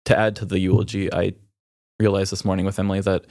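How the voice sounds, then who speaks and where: Male podcast host, low register, raw and reflective, halting delivery through grief, dry recording. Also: little pitch movement, darkish tone, no room sound. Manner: halting, reflective, emotional, with pauses. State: grieving. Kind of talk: raw, candid personal conversation.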